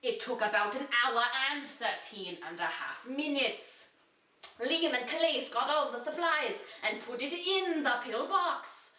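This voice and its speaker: Bright, high-voiced woman reading in echoey room. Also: expressive, unhurried, distant mic. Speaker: reader. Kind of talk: dramatic reading of an amateur children's fantasy story. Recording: echoey room, away from the mic.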